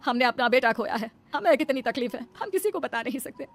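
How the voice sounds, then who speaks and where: Woman as brisk, worried mother-in-law. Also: sits high, expressive. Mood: consoling. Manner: earnest, admonishing. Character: middle-aged mother-in-law, worried about society, protective, firm. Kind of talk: Hindi cartoon family melodrama. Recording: music behind the voice.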